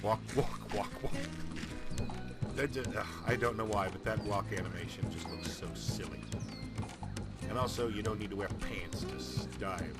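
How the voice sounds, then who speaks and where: A man's voice: on the low side, normal speed. A man giving casual, chatty let's play commentary on a video game, grumbling playfully. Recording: loud game music behind.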